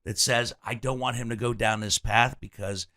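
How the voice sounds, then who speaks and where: A man's voice: mid-range voice, fairly even intonation, normal speed, bright, forceful tone. A middle-aged man hosting an interview, asking probing, reflective questions with some stammering restarts. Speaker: host.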